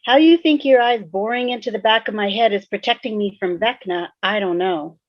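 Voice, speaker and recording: A woman's voice: medium pitch, fairly quick, no room sound, natural voice. A woman playing a sardonic, stubborn teenage girl, blunt and resigned to doom, in a fan table read over a dull-sounding video call. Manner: blunt, sarcastic.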